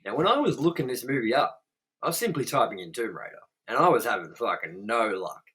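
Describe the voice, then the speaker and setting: A young man hosts a casual film review with a co-host. He talks bluntly, swears freely and jokes along as he reads out the film's details. His voice sits at an ordinary middle pitch. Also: expressive, brisk, fairly bright tone, breathy edge, rough recording. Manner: swears a lot, blunt and frank.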